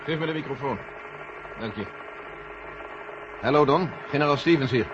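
An actor playing a brusque, authoritative general in a 1970s science fiction radio play. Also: male voice, mid-range voice, normal speed, close to an everyday voice. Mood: decisive, instructing. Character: brusque male American general in charge of space mission. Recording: dull, noisy vintage radio play recording.

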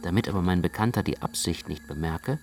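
A man's voice: deep voice, fairly quick, darkish tone, faint music behind. A man narrating a radio play in the first person as an anxious, brooding literary storyteller.

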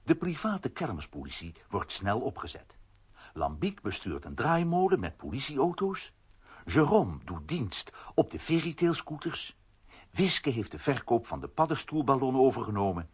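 Husky, very animated male storyteller. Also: medium pitch, normal speed. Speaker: narrator. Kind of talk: scripted storybook narration for children's audio drama.

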